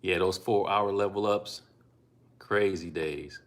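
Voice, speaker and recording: Deep-voiced man chatting casually on a phone stream. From Ohio. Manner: laid-back, unhurried, with frequent ums and asides. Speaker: livestreamer and language learner. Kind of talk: casual live-stream chat reading donations.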